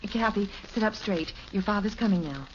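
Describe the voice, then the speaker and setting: A gentle suburban mother played by an actress in a vintage radio family comedy. She speaks cautiously at a medium pitch. The sound is band-limited, with faint noise. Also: lively intonation, fairly quick, lightly acted. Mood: anxious, gentle. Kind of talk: 1950s radio sitcom acting. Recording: muffled old radio recording, faint noise.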